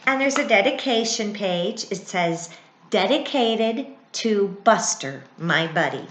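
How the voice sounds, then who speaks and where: Middle aged lady teacher doing a slow animated storytime read for little kids, echoey room. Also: medium pitch, slight background noise. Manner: expressive, with dramatic gasps and thoughtful hums. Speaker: teacher reading a children's book aloud. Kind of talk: animated read-aloud for young children, with asides and questions. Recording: roomy, echoey indoor sound.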